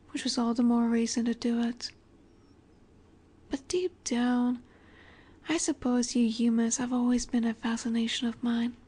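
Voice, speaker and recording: Immortal vampire heiress , played by a woman for romance audio drama: soft, dark-toned, very slow, elegant, wistful, quietly possessive and commanding. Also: fairly high pitch. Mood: wistful, dreamy. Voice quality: soft, breathy, often near-whispered. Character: ageless female vampire boss's daughter, wistful, tender, possessive. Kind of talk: intimate romantic audio roleplay.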